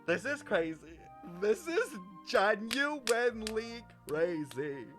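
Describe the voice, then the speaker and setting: A man's voice: sits high, big pitch swings, slow pace, over music. A man livestreaming his reaction to a game, dramatic and gushing, with heavy slang, swearing and repeated exclamations.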